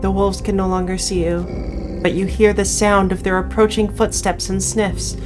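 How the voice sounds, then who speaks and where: A woman's voice: medium pitch, level tone, normal speed, over music. A woman reading a horror visual novel aloud as she plays, mixing storybook-like narration with casual commentary. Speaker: player narrating a game playthrough.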